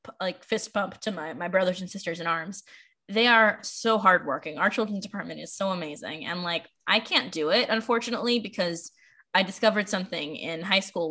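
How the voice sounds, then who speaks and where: A woman's voice: on the low side, fairly quick, bright, forceful tone. An American woman from Washington, D.C., chatting casually as a podcast host and librarian, with rambling, self-deprecating asides. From Washington, D.C., United States. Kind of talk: casual chat.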